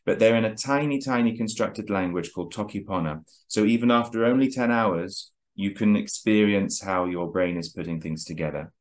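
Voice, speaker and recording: A man hosting a chat, explaining ideas at length in a measured lecturing way before interviewing a guest. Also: on the low side. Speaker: host.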